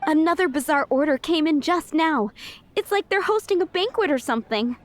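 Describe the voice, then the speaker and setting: A woman playing an earnest, proud, harried young restaurant cook, polite and expressive, in an anime-style game. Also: high-pitched, a little fast. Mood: overwhelmed.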